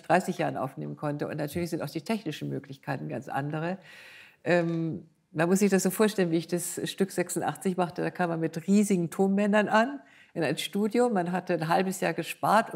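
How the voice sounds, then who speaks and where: A woman's voice: fairly low pitch, gravelly, a little mellow. An older woman, a composer being interviewed, explaining her work in a thoughtful, conversational way. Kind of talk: reflective conversational interview in front of an audience.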